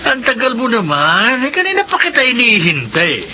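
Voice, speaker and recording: Leering high-pitched drunken bully. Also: male voice, huge pitch swings, normal speed, sharp tone, a little hiss or hum, heavily acted. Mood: menacing, taunting. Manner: taunting, leering. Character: drunken leering male bully, crude and menacing. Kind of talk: Filipino radio drama acting.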